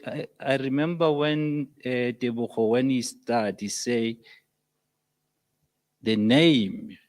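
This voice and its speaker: A South African man, not a native English speaker, giving an impassioned comment at a public meeting, halting at times and rising to loud emphatic peaks. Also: mid-range voice. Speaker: audience member.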